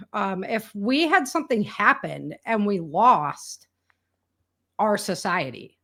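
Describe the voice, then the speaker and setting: An American woman speaks as a guest in a casual conversation. She tells stories candidly, in everyday slang, often quoting people as she goes. Her voice sits at a middle pitch. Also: a bit bright. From rural United States. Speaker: guest, indie author and entrepreneur.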